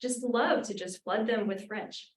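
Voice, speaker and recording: A woman's voice: on the high side, a little slow, a little hiss or hum. Woman at a panel talk, lots of ums and likes, kinda far off and roomy. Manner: thoughtful, many fillers like "um" and "like". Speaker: graduate language instructor. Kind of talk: roundtable discussion.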